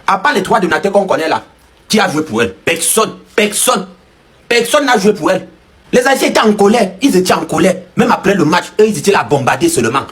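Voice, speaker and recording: A man's voice: high-pitched, very animated, fairly quick, fairly bright tone. A man comments casually for social media. He is emphatic, driving points home with short repeated phrases.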